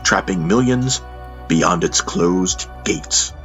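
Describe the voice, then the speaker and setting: A man reading dramatic scripted audiobook narration in a solemn, measured manner. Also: deep voice, a bit bright. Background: music under the voice.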